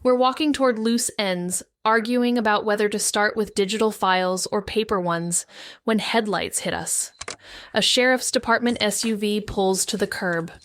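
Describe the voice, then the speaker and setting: A woman's voice: medium pitch, moderate pace, a bit bright, no echo. A woman narrating a noir audio drama in the first person, tense and wry with a brooding edge. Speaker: narrator and lead.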